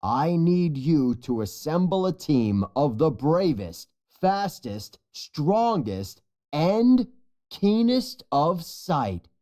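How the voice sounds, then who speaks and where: A narrator as a measured, solemn lion king. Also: mid-range voice, sing-song, dark tone, pitched above the performer's own voice. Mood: proud, stirring. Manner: measured, serious, explanatory. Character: solemn, authoritative adult lion king and father.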